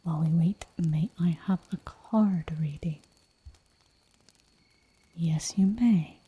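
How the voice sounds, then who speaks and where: A woman whispering softly for an ASMR livestream, chatting in a giggly, rambling way while she draws at home. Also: on the low side, takes it slowly, slightly rough. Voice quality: soft whisper throughout. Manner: giggly, rambling, playful asides.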